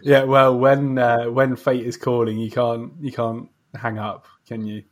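A man's voice: mid-range voice, level tone, a little slow. A man hosting a podcast, chatting casually with a guest in an encouraging, effusive way. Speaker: podcast host interviewing a guest. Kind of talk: casual interview chat.

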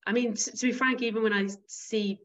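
A woman's voice: a little high, fairly even intonation, a little fast, a bit bright, lo-fi sound. A woman from the Isle of Wight, a football club chief executive speaking frankly as an interview guest and often restarting her sentences. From Isle of Wight, England.

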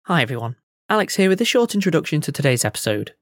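A man hosts with a scripted introduction. His voice sits slightly high for a man, and the recording is dry and close. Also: sing-song, quick pace, no room sound, soft background music. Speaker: podcast host introducing episode. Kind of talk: scripted announcement.

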